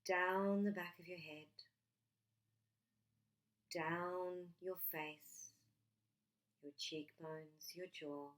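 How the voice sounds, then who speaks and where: A woman leading a guided relaxation meditation in a gentle, soothing way, leaving long pauses between short phrases. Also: on the low side, steady tone.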